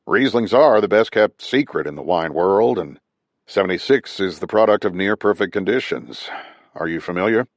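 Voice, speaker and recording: A man's voice: deep voice, expressive, moderate pace, dry recording. A man voicing a genial, wealthy male vineyard owner who talks about wine with a showy, hearty manner.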